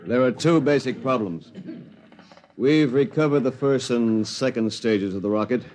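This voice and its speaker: A man's voice: medium pitch, moderate pace, darkish tone, slight background noise, natural voice. An American man playing a curt, weary general with a clipped, laconic soldier's delivery in a 1950s radio drama. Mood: matter-of-fact. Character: curt, weary military general briefing the press.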